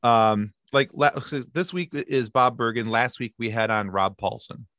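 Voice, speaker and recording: A man guesting on a podcast, telling stories in a lively chatty way with quick asides and restarts. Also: mid-range voice, no echo, studio quality. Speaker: podcast guest, sci-fi podcast co-host. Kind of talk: casual interview chat.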